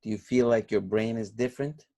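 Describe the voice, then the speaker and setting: Male instructor, first language Turkish, lowish voice, rambles and thinks aloud in class discussion. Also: steady tone, brisk, dark tone. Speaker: course instructor.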